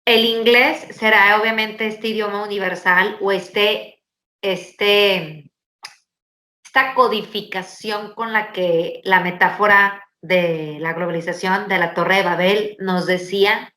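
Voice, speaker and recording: A woman chats casually and tells animated stories, leaning on fillers as she goes. Her voice is bright, and the room around her is echoey. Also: lowish voice, unhurried. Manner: animated storytelling, many fillers. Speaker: podcast host. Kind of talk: casual chat.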